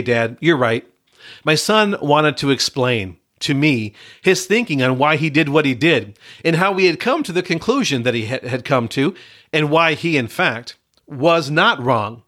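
A man's voice: mid-range voice, a bit bright. Middle-aged man from Michigan, pastor-coach podcast host; stuffy, congested voice; earnest, chatty delivery. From Michigan, USA. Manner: earnest, conversational, with frequent uh fillers. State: congested from a cold. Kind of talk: solo podcast monologue.

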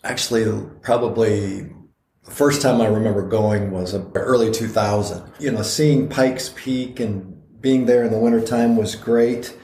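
A man's voice: lowish voice, steady tone, measured pace, mellow, echoey room, slight background noise. A middle-aged man co-hosting a podcast, chatting in a rambling way with frequent restarts. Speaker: podcast co-host. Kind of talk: casual chat.

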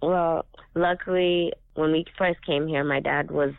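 Gravelly man on a tinny line. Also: fairly high pitch, fairly even intonation, unhurried, no room sound. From Africa. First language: Swahili. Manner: reflective, earnest storytelling. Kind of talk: casual chat. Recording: tinny phone-like sound.

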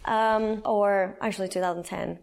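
A woman's voice: medium pitch, steady tone, normal speed, vocal fry, darkish tone. A woman from El Salvador in her thirties, telling her personal faith testimony in an earnest, conversational way with frequent fillers. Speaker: guest sharing her testimony.

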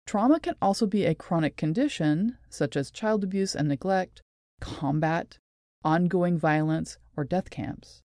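A woman presenting a scripted explanatory talk in a measured, deliberate and articulate way. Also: fairly low pitch, dark tone, no room sound, studio quality. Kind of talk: scripted explanatory narration with read quotations.

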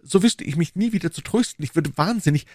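Man voicing an anguished queen, husky and swooping. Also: fairly high pitch, animated, fast talker, no echo, clean studio sound, pitched above the performer's own voice. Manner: imploring, agitated, regal. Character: anguished, torn queen, proud yet secretly in love.

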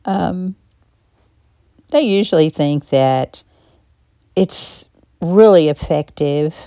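Woman, podcast host, hoarse, gravelly, non-native English accent, slow reflective delivery, mellow dark tone. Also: low voice, no echo, clean studio sound. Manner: calm, reflective, measured delivery with frequent pauses. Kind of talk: personal commentary monologue.

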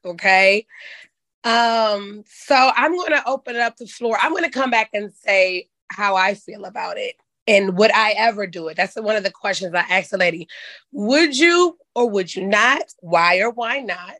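Hoarse woman hosting a chat show. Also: mid-range voice, a little slow, pushed and bright, no echo. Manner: folksy and candid, says "child". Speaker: podcast host. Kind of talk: casual chat.

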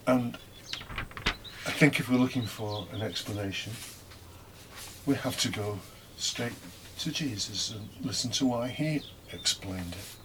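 A man giving a reflective homily, speaking deliberately with pauses and rhetorical questions. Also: lowish voice, noisy background. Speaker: preacher giving a scripture reflection.

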